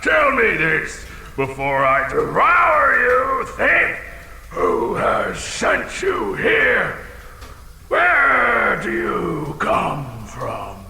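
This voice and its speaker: A man playing a blustering, insecure, half-blind dragon in a comedic audio drama, swinging between theatrical threats and fussy muttering in a gravelly voice. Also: high voice, huge pitch swings, drawn-out words, pushed and bright, heavily acted. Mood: menacing. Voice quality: gravelly, creaky. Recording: cavernous long reverb with faint noise.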